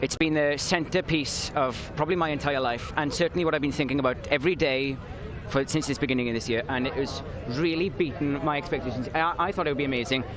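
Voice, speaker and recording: Quick-talking Welsh teenage boy, crowd behind. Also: a little high, steady tone. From Wales. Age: teens. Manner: earnest, rambling, quick-talking. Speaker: interview guest. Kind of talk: live street interview. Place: outdoors at a downtown riverfront. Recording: outdoor street with a loud crowd behind.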